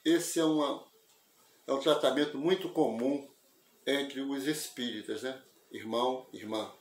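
An older man giving a spiritist lecture over a video call, speaking in a measured, reflective and explanatory way through an earphone mic in a small room at home. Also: medium pitch, steady tone, reverberant. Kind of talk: religious lecture. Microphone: wired earphone mic on a video call.

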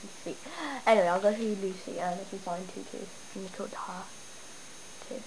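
A young woman with a stuffy cold, chatting and rambling excitedly as she shows off her game town at home. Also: mid-range voice, fairly quick, creaky. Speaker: gamer showing her town. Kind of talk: casual game walkthrough. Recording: noisy home camera recording. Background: steady background noise.

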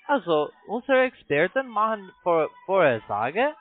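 Halting foreign-accented man on a phone line. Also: sits high, big pitch swings. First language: not German. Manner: halting, broken German with repeated restarts. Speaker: phone sales agent, investment scam caller. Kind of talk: sales pitch on a phone call. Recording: tinny narrow phone line.

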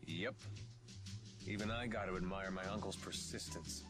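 Man acting low-voiced wry rogue. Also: fairly even intonation, normal speed, over music, natural voice. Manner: sardonic, laid-back. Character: wry, world-weary rogue from a fallen noble family.

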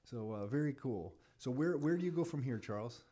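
A middle-aged man hosting a podcast interview, friendly and encouraging, with animated exclamations, on a studio mic in an office. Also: medium pitch, brisk. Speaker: podcast host. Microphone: studio mic on boom, headphones.

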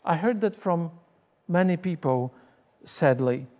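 A man's voice: fairly high pitch, lively intonation, takes it slowly, mellow. A middle-aged man from Poland with a Polish accent, preaching a sermon in earnest storytelling fashion on a church stage with a lapel mic. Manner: earnest storytelling, gesturing with both hands. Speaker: pastor and teacher. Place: church stage with curtain backdrop.

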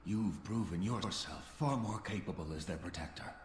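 An actor voicing a weary, earnest male guardian in a fantasy video game, speaking in a measured way. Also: deep voice, natural voice. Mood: grateful, weary. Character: weary rescued male guardian of sacred fangs. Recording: echoey, noisy game audio.

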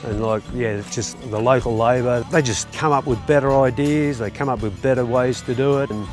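A man's voice: medium pitch, brisk, darkish tone. Male contractor, casual interview delivery, middle register, faint music bed. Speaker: installation contractor.

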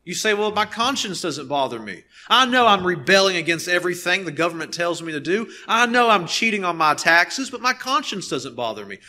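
A male pastor in his thirties delivers a sermon in a high, bright voice. He is earnest and emphatic, setting key phrases apart with deliberate pauses and repeating them. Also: sing-song. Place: nearly empty church sanctuary, behind a pulpit.

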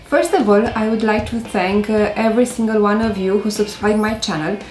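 Romanian-accented woman with a gentle, hesitant delivery. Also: mid-range voice, steady tone, fairly quick, roomy sound, slight background noise, rough recording. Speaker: beauty vlogger. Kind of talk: beauty tutorial talking to camera. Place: indoors by a stone wall.

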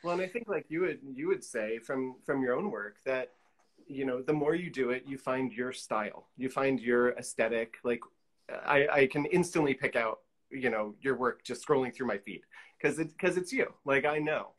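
A man's voice: mid-range voice, moderate pace. Conversational man chatting as interview guest. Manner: conversational, frequent "you know" asides. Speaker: interview guest, collage artist. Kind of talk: casual interview chat. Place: small indoor room with pinned pictures, video call.

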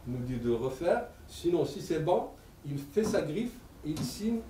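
A man in his sixties with an Arabic accent, giving an animated public talk with many repeated words. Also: fairly high pitch, a little slow, slight background noise. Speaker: lecturer. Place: lecture room. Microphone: table stand mic. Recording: echoey room, mic at a distance.

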